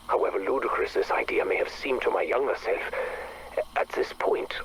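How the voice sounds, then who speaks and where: Casting: male actor as obsessive, bitter scientist for game audio logs; formal, theatrical diction, breathy and brooding, slightly noisy recording. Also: mid-range voice, lightly acted. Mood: brooding, measured. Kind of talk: dramatic monologue for a game audio log.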